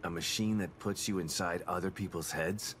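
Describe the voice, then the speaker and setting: Grim middle-aged American game detective, played by a man, very deep voice, brooding, faint noise behind. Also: fairly even intonation, moderate pace, close to an everyday voice. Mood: bitter, skeptical. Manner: brooding, skeptical. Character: weary, grim, guarded male detective father. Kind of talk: video game cutscene acting.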